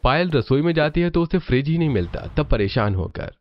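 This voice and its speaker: A man's voice: fairly high pitch, lively intonation, brisk, dull audio. A man narrating a scripted family cartoon story.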